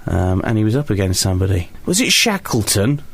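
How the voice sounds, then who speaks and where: A man's voice: on the low side, sing-song, fairly quick. A man hosting a breakfast radio podcast, chatting casually in a jokey, rambling way with mock-grand flourishes. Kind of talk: casual comic banter.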